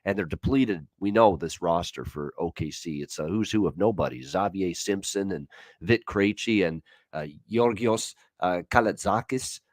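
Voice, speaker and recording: A man's voice: deep voice, moderate pace, dry recording, studio quality. A man hosting a sports betting show, giving his opinions in casual, conversational commentary.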